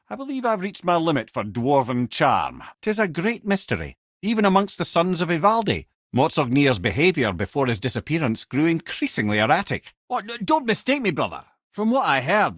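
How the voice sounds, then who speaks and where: An actor playing a witty, middle-aged talking head of an old sage in a video game, with a Scottish accent and a wry storyteller's manner. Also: male voice, a little high, a bit bright, slightly rough, dry recording, clean studio sound, exaggerated voice. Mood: wry, teasing. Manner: wry, loquacious storyteller, avuncular.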